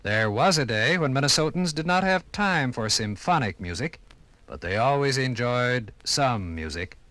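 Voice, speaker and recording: A man narrating and explaining as a guide in a scripted 1950s radio program, with deliberate phrasing. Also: medium pitch, animated, sharp tone, no room sound.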